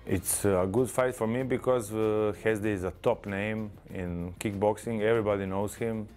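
A man's voice: lowish voice, fairly even intonation, darkish tone, faint music behind. A man in his thirties with a Croatian accent, a kickboxer speaking modestly and hesitantly in a pre-fight studio interview. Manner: measured, modest, hesitant with fillers. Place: studio with branded backdrop. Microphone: lapel.

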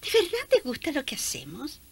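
A woman playing a gentle, cheerful young missionary nun in a radio drama, affectionate and giggly. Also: high voice, huge pitch swings, a little fast, vocal fry, full character voice. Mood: kindly.

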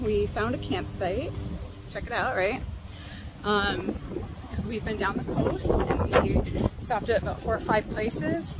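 Excited woman vlogging on a windy beach. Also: on the high side. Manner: excited, bubbly, laughing often. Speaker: travel vlogger. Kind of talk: casual selfie vlog. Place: outdoors by the ocean, windy beach. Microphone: phone at arm's length. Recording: loud wind and surf noise on a phone mic.

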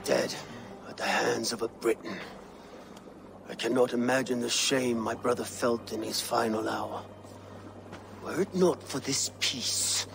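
An actor playing a solemn, grieving Viking chieftain in a game cutscene, grave and measured. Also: male voice, medium pitch, vocal fry. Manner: grave, measured, dropping to a hush. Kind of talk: video game cutscene acting. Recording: music under dialogue.

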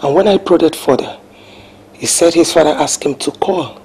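A Nigerian woman acting a calm, reasoning wife in a domestic film drama, measured and soothing. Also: low voice, husky, exaggerated voice. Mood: thoughtful.

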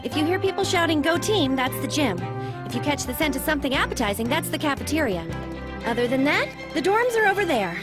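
A high, very lively teenage girl, teasing and sure of herself in theatrical anime drama style. Music plays behind her as she bosses and coaxes. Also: fairly quick. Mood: teasing, breezy. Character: teasing, bossy, self-assured middle school girl. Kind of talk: anime audio drama acting.

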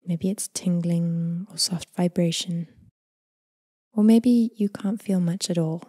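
A woman leading a guided body scan meditation in a soft, breathy, near-whispered voice, gentle, unhurried and soothing. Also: on the low side, dry recording, studio quality. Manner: gentle, unhurried, calming delivery with long phrases trailing off.

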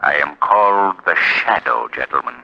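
An actor playing an invisible, mysterious crime-fighter with deliberate, formal, menacing courtesy in 1940s radio style. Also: male voice, a bass voice, expressive, vocal fry, exaggerated voice. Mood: mocking, menacing. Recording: dull 1940 radio recording.

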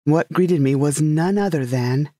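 A woman's voice: deep voice, a little slow, very noisy. A woman playing a wry, curious retired space heroine who narrates a comic sci-fi story with dramatic flair. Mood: wry, intrigued.

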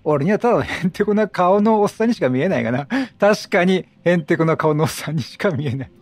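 A man's voice: sits high, animated, fast. A man giving casual let's play commentary, chatty and reacting aloud, questioning and repeating lines. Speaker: gaming streamer.

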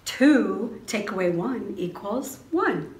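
A middle-aged woman reading a picture book aloud to preschoolers in an expressive, sing-song, exclamatory teacher's manner. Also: medium pitch, slow pace. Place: small indoor preschool classroom. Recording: roomy indoor echo.

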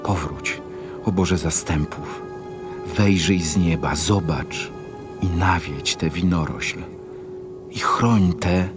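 An actor playing a reverent psalmist, solemnly imploring God in a dramatized audio Bible. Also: male voice, sits low. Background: music.

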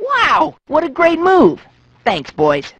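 A woman playing a cocky, adventurous boy hero in a cartoon game, with a husky, bold, breezy delivery. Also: high-pitched, wildly swooping pitch, slow, dry recording, exaggerated voice. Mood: brisk, upbeat. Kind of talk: cartoon video game acting.

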